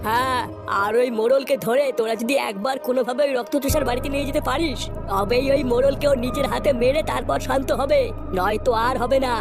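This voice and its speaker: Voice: sits high, quick pace, over music. A performer voicing a boastful, touchy village holy man with theatrical delivery in a comic cartoon dub. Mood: ominous. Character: gruff, boastful holy man chanting curses and prophecies.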